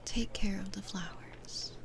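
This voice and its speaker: Casting: woman to play a kindly, wise demon flower seller for ASMR roleplay; soft whispering, very slow and soothing. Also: mid-range voice, vocal fry. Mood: gentle, soothing. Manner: slow, soothing, nurturing, unhurried. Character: gentle, patient female demon florist, kindly and wise.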